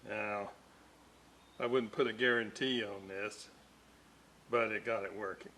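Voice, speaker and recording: A man restoring an old radio, slowly thinking aloud with lots of fillers as he works at his bench. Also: lowish voice, fairly even intonation, sharp tone. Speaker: electronics restorer. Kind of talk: casual workbench narration. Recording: echoey, distant, noisy workshop audio.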